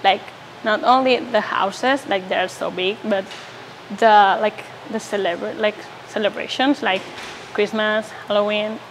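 A woman's voice: mid-range voice, steady tone, a little slow, rough recording. A teenage girl from Spain speaks English. She fills gaps with "like" as she hunts for words. Faint outdoor noise sits behind her. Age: teens. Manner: says "like" constantly, searching for words. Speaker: exchange student. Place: outdoors by a stone wall.

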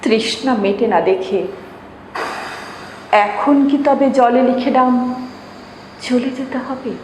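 A woman reciting poetry in a dramatic, measured manner with drawn-out pauses. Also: high-pitched, a little mellow. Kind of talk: expressive Bengali poetry recitation. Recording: reverberant echo, noticeable background hiss.

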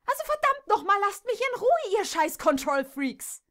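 A woman voicing a young hot-tempered mare who shouts shrilly when angry. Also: high-pitched, expressive, moderate pace, pitched above the performer's own voice. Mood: furious.

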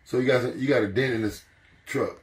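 Man, casual questioner, rapid interjections, mid-pitched, faint background noise. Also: roomy sound, far from the mic. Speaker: listener asking questions. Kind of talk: casual chat.

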